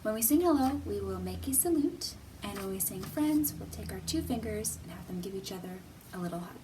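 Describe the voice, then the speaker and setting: A woman hosting a children's storytime, speaking in simple, deliberate, teacherly phrases with pauses and questions for young viewers. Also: medium pitch, expressive, distant mic, background noise. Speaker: children's library storytime host.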